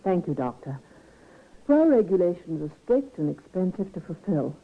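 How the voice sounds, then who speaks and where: An actress playing a brisk, bossy, dutiful nurse in an old-time radio drama, firm and no-nonsense. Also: female voice, lowish voice, very animated, slightly rough, heavily acted. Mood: professional, deferential. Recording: muffled vintage radio recording.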